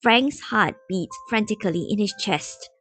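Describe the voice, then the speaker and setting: A woman reading a story aloud as an expressive storybook narrator. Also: mid-range voice, measured pace, slightly rough, faint music behind. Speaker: narrator, fan story reader.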